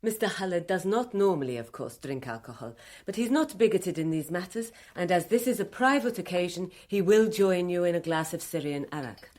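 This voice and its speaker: A woman's voice: on the low side, expressive, brisk, hardly any pauses, a little mellow, natural voice. Composed, formal woman played by an actress. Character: cool, formal Lebanese woman aide to guerrillas. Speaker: actor playing a guerrilla's go-between. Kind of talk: radio drama dialogue.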